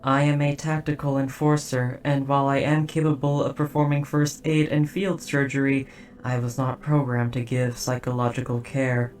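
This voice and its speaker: A performer voicing a dutiful robot soldier in an audio drama, speaking in a formal, precise, measured and softly hesitant way. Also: mid-range voice, level tone, far from the mic, close to an everyday voice. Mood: calm, formal. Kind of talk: scripted roleplay audio drama.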